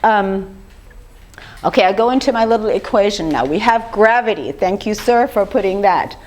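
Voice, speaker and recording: An older woman with a slightly rough voice gives an informal lecture, talking conversationally and putting questions to her audience. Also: mid-range voice, normal speed. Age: 50s or older. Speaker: speaker giving a lecture on raw food.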